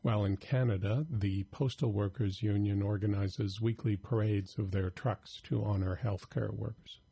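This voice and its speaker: Guy reading labor news, husky low voice, steady and measured. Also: no echo. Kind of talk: scripted news report.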